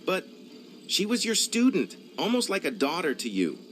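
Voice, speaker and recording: A man's voice: high-pitched, wildly swooping pitch, moderate pace, noisy background. A man voicing a shocked young knight, distressed and pleading.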